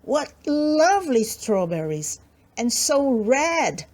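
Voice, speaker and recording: A woman voicing an eager, easily distracted little girl in a storybook reading, speaking high, sweet and slow. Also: exaggerated voice. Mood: delighted. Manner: sweet, excitable.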